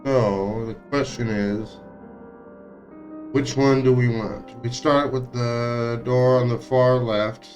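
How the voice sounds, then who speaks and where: A man streaming a visual novel, reading its text aloud in a somewhat halting way with occasional stumbles. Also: mid-range voice, fairly even intonation, darkish tone, faint music behind. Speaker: game streamer.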